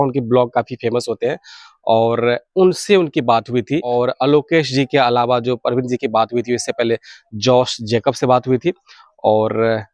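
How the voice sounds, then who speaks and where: A man's voice: mid-range voice, a little mellow, no room sound. A man with an Indian accent giving an informal update to viewers as a channel host, explaining and rephrasing his points as he goes. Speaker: video channel host sharing radio hobby news.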